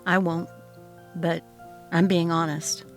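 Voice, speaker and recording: A woman's voice: on the low side, little pitch movement, slow, soft background music. A woman voicing an earnest, responsible young adult son in a dramatized story, sober and restrained in manner. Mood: frank, resentful.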